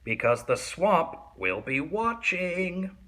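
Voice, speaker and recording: Guy doing a scratchy mean witch teacher lady from a storybook, high and bossy, sharp. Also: sing-song, exaggerated voice. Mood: menacing warning. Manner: bossy, drawn-out delivery. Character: stern, menacing witchy substitute teacher woman.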